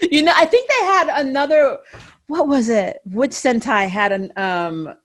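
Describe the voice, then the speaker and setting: A woman's voice: high voice, expressive, unhurried, fairly bright tone. A woman hosting a casual fan chat, talking in a giggly, enthusiastic way. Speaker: host of a fan interview show.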